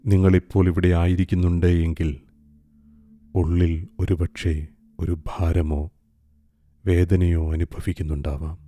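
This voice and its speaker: A man guiding a meditation in a calm, soft, hushed way. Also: low-pitched. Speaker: meditation guide. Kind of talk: slow guided meditation. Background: soft music.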